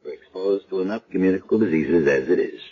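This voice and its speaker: An actor playing a middle-aged hospital chief of staff, brisk and condescending, questioning a patient in a businesslike way in a 1970s radio drama. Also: male voice, low-pitched. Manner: crisp, businesslike bedside questioning. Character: brisk, condescending male doctor in charge. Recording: dull old radio broadcast.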